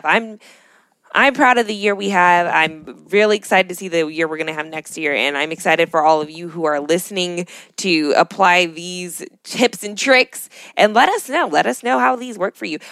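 Female co-host, enthusiastic and conversational, slightly low register, close dry recording. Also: normal speed, creaky, fairly bright tone, no room sound. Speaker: podcast co-host. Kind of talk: casual reflective conversation.

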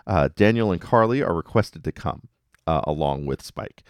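A man's voice: sits low, lively intonation, normal speed, no room sound. A man hosting a podcast, casually recapping episodes with wry, jokey asides. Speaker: podcast host.

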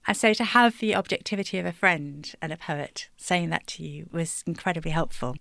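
Brief: poet guest, woman from England, lowish voice, dry close sound, reflective and warm. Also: brisk, creaky, dry recording. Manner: warm, thoughtful, laughs lightly between thoughts. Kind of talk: reflective interview answers.

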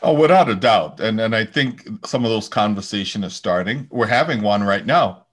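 A man from the U.S. Virgin Islands hosting a podcast interview, friendly and quick with enthusiastic interjections. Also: on the low side, expressive. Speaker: podcast host. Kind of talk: conversational interview.

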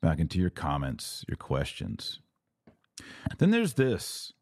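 A man with a low-pitched voice delivers opinionated religious commentary as a podcast host. He is emphatic and argumentative, stretching out the words he wants to stress. The sound is dry, with very little room around the voice. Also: wildly swooping pitch, slow, darkish tone, no room sound.

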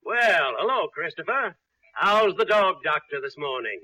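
An actor playing a blustery, idle, wisecracking uncle in an old radio comedy, jaunty and wry. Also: male voice, on the high side, sing-song, measured pace, background noise. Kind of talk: mid-century radio comedy acting.

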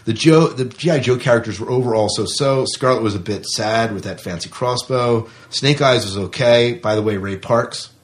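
A man's voice: medium pitch, normal speed, hardly any pauses. A man co-hosting a podcast, talking casually about films and reading out reviews. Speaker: podcast co-host.

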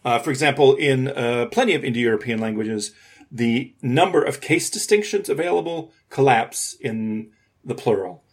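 A man's voice: medium pitch, normal speed, slightly rough. A man co-hosting a podcast, explaining things informally and articulately. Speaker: podcast co-host.

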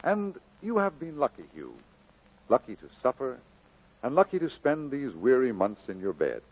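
An actor playing a kindly, earnest, encouraging minister in an old radio drama, exhorting in a solemn, measured way. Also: male voice, medium pitch, sing-song, slightly rough. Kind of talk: 1940s radio drama acting. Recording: muffled old radio broadcast.

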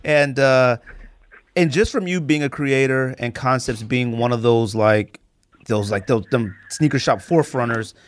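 A man's voice: mid-range voice, unhurried. A man from Arizona hosting a podcast, chatting casually in a rambling, conversational way as he interviews. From United States, Arizona. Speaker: podcast host.

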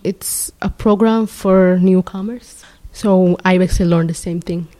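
A teenage girl answering questions in a plain, halting way. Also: lowish voice, steady tone, mellow. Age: teens. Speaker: interviewee.

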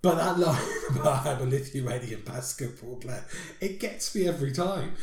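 A man's voice: medium pitch, animated, moderate pace. A man co-hosting a casual chat about songs, rambling and laughing often. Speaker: podcast co-host. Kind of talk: casual music review chat. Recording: roomy echo.

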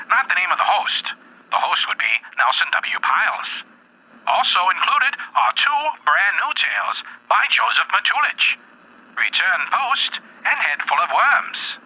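A man's voice: high voice, slightly rough, no echo. A man hosting a horror story podcast, introducing the episode in a chatty way with joking asides. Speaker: podcast host. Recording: dull lo-fi sound.

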